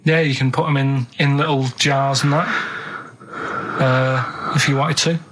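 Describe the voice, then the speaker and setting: A man's voice: mid-range voice, fairly even intonation, a little slow, noisy background. A man chatting casually as a radio show regular, asking naive, puzzled questions in a plain-spoken, rambling way. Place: radio studio.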